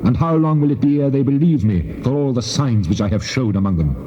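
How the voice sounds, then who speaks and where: A man's voice: mid-range voice, animated, a little fast, mellow, lightly acted. A man playing a wrathful, majestic God in a dramatized scripture recording, speaking in solemn declamation. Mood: stern, wrathful. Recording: muffled, long cavernous reverb.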